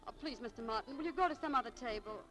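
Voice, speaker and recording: A woman's voice: a little high, very fast, lightly acted. An actress playing a prim, anxious, prickly young shop girl in a 1940s radio drama, polite but flustered, on an old broadcast recording. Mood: flustered, annoyed.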